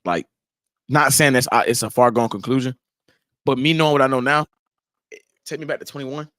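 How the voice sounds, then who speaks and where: A man's voice: mid-range voice, fairly quick, a bit bright, dry recording. A man in his twenties hosting a casual podcast chat, slangy and laid-back, laughing often. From Haiti. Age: 20s. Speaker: podcast host.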